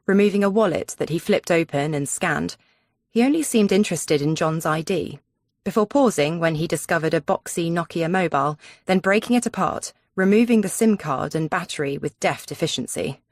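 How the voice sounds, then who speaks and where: A woman's voice: on the low side, no room sound, studio quality. A woman narrating a suspense audiobook in the first person.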